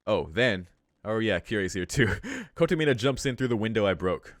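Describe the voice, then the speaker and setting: A man's voice: on the low side, lively intonation, moderate pace, no echo, clean studio sound. A man reading a visual novel aloud as a streamer, with amused, slangy and sweary casual asides. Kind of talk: casual read-aloud with commentary. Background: faint game music.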